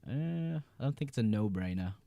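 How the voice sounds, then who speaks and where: A young Englishman with a lowish, mellow voice chats through viewer questions on a livestream. He reads each message aloud, then answers in a relaxed, easygoing way. The sound is dry and close, with no room around it. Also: moderate pace, gravelly, dry recording. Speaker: livestream host. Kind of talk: casual livestream chat answering viewer comments.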